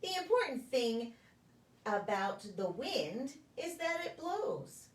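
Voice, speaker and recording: A woman reading a children's picture book aloud in a deliberate, sing-song storytelling way for preschoolers. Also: a little high, sing-song. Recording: echoey room, distant microphone.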